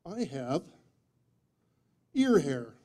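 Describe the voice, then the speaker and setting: A man in his fifties giving a humorous storytelling speech, with theatrical deadpan delivery and dramatic pauses. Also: on the high side, slight background noise. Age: 50s. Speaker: speech contest speaker. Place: meeting room before a live audience, indoors. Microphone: distant audience camera microphone.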